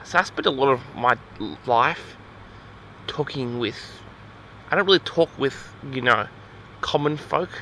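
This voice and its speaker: Aussie guy rambling a story, kinda flustered, really sharp bright voice, bit of background noise. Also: mid-range voice, lively intonation, measured pace, long pauses, poor audio. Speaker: host of an audio log.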